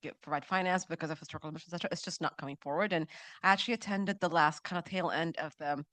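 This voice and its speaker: A woman from Ethiopia puts a question to a seminar speaker. She talks quickly, laying out her point as a climate delegate would. Her voice comes across dry, with very little room sound around it. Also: low-pitched, fairly bright tone, no room sound. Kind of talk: spoken audience question.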